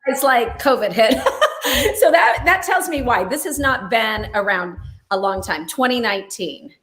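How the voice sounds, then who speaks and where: A woman hosting a video-call interview, chatty and lively, quick to laugh. Her voice sits a little high, and the room around her is echoey. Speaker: host. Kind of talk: casual interview chat.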